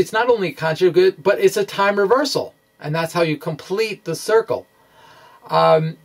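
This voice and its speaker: A man giving an informal science explanation over animations, speaking haltingly and correcting himself as he goes. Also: on the high side. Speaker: science video blogger.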